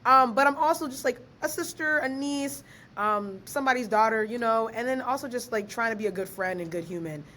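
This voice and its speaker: A fairly high-voiced young woman talks with a podcast host. She is lively and slangy and laughs often. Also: fairly quick. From Ghana, raised in Maryland. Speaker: guest, author and researcher. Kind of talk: casual podcast interview.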